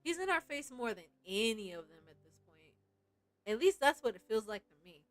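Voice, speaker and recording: A woman game streamer chatting casually and reacting in an animated, giggly way. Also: a little high, moderate pace, faint music behind. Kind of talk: casual livestream chat with read-aloud game lines.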